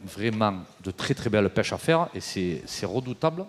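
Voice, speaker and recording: A man in his forties giving an animated, explanatory talk on a trade show stage into a handheld mic, with many fillers. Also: fairly low pitch, normal speed. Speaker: fishing tackle presenter. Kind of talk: casual live demo talk. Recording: long reverb of a hall.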